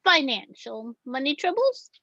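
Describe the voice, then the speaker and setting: A woman's voice: high-pitched, big pitch swings, measured pace, pushed and bright, dull audio, exaggerated voice. A woman playing an eccentric, witchy old grandmother in a theatrical comedic table read, matter-of-fact about grisly things. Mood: searching, musing. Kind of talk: script table read, comedic character acting.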